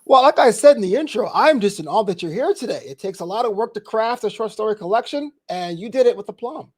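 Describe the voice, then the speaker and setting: A man's voice: high-pitched, expressive, quick pace. A man from New York hosting a book interview, upbeat and full of praise for his guest. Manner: upbeat, effusive praise, polished presenter delivery. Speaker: interview host.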